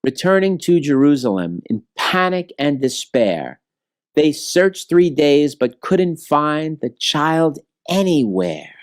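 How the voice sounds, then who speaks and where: Expressive, unhurried man reading a children's story. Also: fairly high pitch, dry recording, clean studio sound. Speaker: storybook reader. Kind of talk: scripted rhyming children's story narration.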